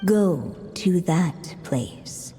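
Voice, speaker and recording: A stately, enigmatic storyteller in a fantasy game. She recites slowly and poetically in a long reverb, with music underneath. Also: lowish voice, animated, dark tone. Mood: solemn, enigmatic. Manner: measured, poetic, cryptic. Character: mysterious, stately female storyteller reciting a fairy-tale riddle. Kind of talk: fairy-tale narration in a video game.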